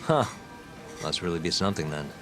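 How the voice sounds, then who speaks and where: A man's voice: deep voice, expressive, a little fast. An actor playing a cool, unflappable male hero in a video game, dry and understated with wry sarcasm. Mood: calm. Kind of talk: video game cutscene acting. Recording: prominent music behind the voice.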